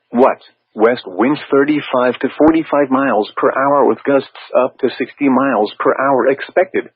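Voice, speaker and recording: A synthetic male text-to-speech voice reading scripted weather warning bulletins over weather radio, formal and measured, with stilted phrasing. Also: mid-range voice, breathy edge, no echo. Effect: weather radio broadcast. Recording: tinny narrow-band weather radio.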